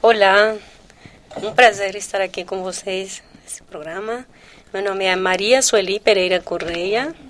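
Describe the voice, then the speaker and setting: A woman's voice: mid-range voice, a little slow, fairly bright tone. A woman from Venezuela introducing herself conversationally as a podcast guest. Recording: faint background ambient noise.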